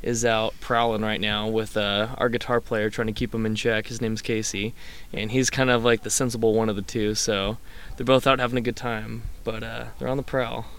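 A man's voice: lowish voice, level tone, moderate pace, fairly bright tone, clear, resonant tone. A man who drums in a punk band, answering interview questions in an easygoing, friendly way.

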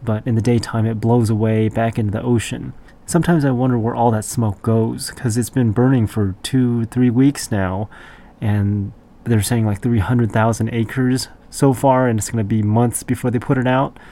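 A man's voice: medium pitch, steady tone, moderate pace, dark tone. A man hosting a radio show, chatting casually between songs in a rambling, laid-back way, his voice congested from allergies.

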